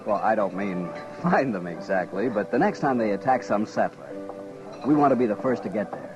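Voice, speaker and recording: Man playing insistent Eastern dude, low voice, lively swoops, dull vintage radio recording. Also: normal speed, natural voice. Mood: eager. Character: pushy, indignant Eastern city writer, adult man. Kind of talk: old-time radio western acting. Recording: dull old radio recording, music bed.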